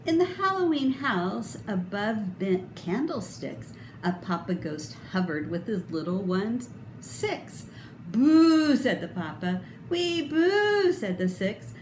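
A woman's voice: medium pitch, very animated, slow. A middle-aged woman reading a children's picture book aloud with theatrical, emphatic, sing-song expression. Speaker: storybook reader. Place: indoor room. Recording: roomy echo, clear background noise.

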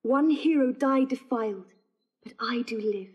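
An actress playing a gentle, earnest young bride in a classical audio drama, with a breathy voice. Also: female voice, high-pitched, measured pace, dull audio.

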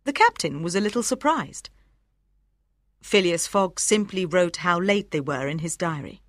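A woman reading scripted storybook narration for language learners, with careful, expressive enunciation. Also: on the low side, normal speed, no room sound, clean studio sound. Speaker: audiobook narrator.

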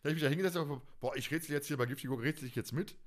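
A man's voice: fairly high pitch, racing, a little hiss or hum. Man in his forties, colloquial rambler. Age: 40s. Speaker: fan podcast host. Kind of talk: casual chat.

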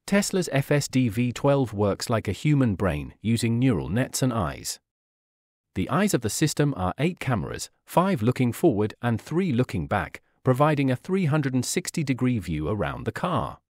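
A man reading a scripted narration on technology in an even, informative way. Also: medium pitch, normal speed, dry recording, clean studio sound. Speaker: channel narrator.

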